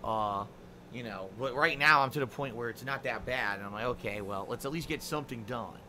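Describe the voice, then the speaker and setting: A man's voice: medium pitch, fairly quick, bright, forceful tone, a little hiss or hum. A middle-aged man streaming games live, rambling and complaining in a casual monologue full of fillers. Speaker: game streamer.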